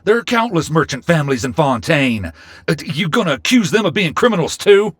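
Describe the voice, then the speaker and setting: An actor playing a hot-headed, easily rattled merchant in a video game, blustering and stammering defensively. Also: male voice, on the high side, big pitch swings, a little fast, fairly bright tone. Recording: music under the voice.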